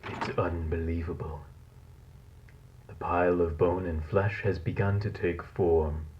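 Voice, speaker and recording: A man playing a cold, fascinated scientist in a horror audio log, speaking in a measured, formal, clinical way. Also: deep voice, little pitch movement, background noise. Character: cold, fascinated male scientist logging a monstrous experiment. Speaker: narrator of a found-footage audio log. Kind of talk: scripted horror narration, dated lab log. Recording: dull lo-fi tape recording.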